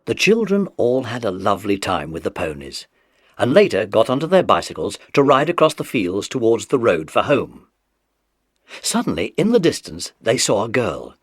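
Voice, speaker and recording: A man's voice: on the low side, big pitch swings, moderate pace, breathy edge, dry recording, clean studio sound. A man narrating a children's adventure story from a script, in a dramatic storytelling style.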